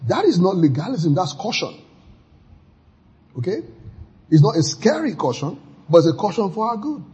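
A man preaching with a deeply husky, rough-edged voice pitched a little high. He delivers his sermon exuberantly, breaking into loud shouts of praise between readings of scripture. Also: big pitch swings, moderate pace, highs cut off. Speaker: pastor.

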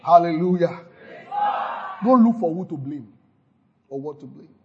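A man's voice: fairly high pitch, lively intonation, unhurried, dull audio, crowd noise, background noise. A man preaching a sermon, exhorting his listeners and leading them in repeated declarations with frequent hallelujahs. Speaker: pastor. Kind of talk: sermon with call-and-response declarations.

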